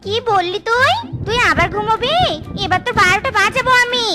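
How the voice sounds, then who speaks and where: Extremely high-pitched cartoon wife, bossy, furious, scolding, loud music underneath. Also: female voice, a little fast. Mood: angry, scolding. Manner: scolding, threatening. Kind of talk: exaggerated comic cartoon dubbing.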